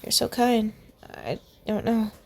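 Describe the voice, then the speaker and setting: A woman's voice: fairly high pitch, slow pace, vocal fry. A woman voicing a shy, emotional young woman who speaks in a hesitant, shaky way, in a storybook reading. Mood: tearful, hesitant.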